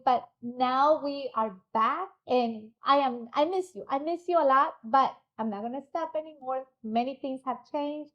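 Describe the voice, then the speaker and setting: A woman's voice: high-pitched, dull audio. A woman hosting an interview podcast, upbeat and chatty, who drops in Spanish words. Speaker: podcast host. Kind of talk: casual interview chat.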